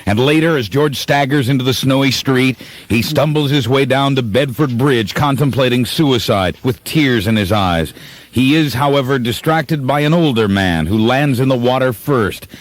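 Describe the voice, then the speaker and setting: A man's voice: medium pitch, no echo. A man reading scripted narration for a radio play.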